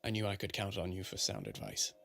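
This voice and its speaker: An actor playing a gracious, ailing prince in a radio drama, with creaky, weary, refined speech and a stoical, kindly temper. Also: male voice, sits low, big pitch swings, fast, sharp tone, faint music behind, exaggerated voice.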